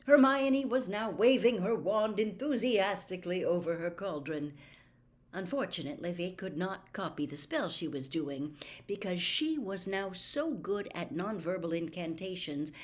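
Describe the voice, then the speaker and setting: A woman reading a novel aloud as expressive, scripted story narration. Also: fairly low pitch, a little slow. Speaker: audiobook reader.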